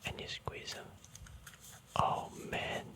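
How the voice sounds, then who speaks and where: A man whispers softly throughout, with no full voice, in an intimate ASMR style. His delivery is casual and rambling, like a laid-back hobbyist talking a viewer through his collection. He comes across as informal and gently self-deprecating. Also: takes it slowly. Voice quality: soft breathy whisper. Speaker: ASMR card collector host.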